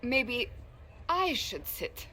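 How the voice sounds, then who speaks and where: A woman playing a loyal, awkward warrior in a fantasy video game, speaking formally and earnestly, sometimes haltingly. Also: on the high side, sing-song, pushed and bright, slight background noise, heavily acted. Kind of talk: video game cutscene acting.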